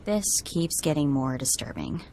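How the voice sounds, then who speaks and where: Brief: a snarky game sidekick, lively pitch, wry and blunt. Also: female voice, normal speed, a little hiss or hum. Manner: wry, sardonic asides. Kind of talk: video game cutscene acting.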